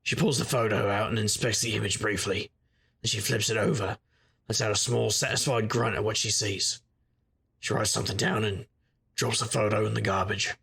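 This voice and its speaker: A low, very husky male private eye, played by an actor in a noir audio drama. He is world-weary and sardonic, delivering his narration and lines with a wry deadpan and a gravelly edge. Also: moderate pace, exaggerated voice. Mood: dry, knowing.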